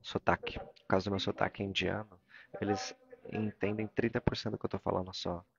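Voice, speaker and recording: A man with a Brazilian Portuguese accent gives a live interpretation of a morning religious talk, halting and repeating phrases as he searches for words. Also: low-pitched, little pitch movement, gravelly, muffled recording, crowd noise. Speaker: interpreter of a spiritual discourse.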